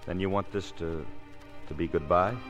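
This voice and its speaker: A man's voice: low-pitched, fairly even intonation, moderate pace, muffled recording, natural voice. A man playing a breezy, cocky, good-natured stage performer in a 1950s radio drama. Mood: subdued, wistful. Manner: informal, easygoing, teasing. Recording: vintage radio broadcast with music behind.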